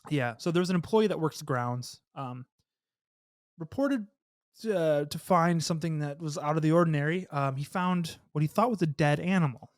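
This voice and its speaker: Slightly hoarse young man, rambling podcast chat. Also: a little high, moderate pace, dry recording, studio quality. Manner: rambling, laughs often, swears casually. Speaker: podcast host. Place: small home studio with red lighting. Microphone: studio mic with headphones, on camera.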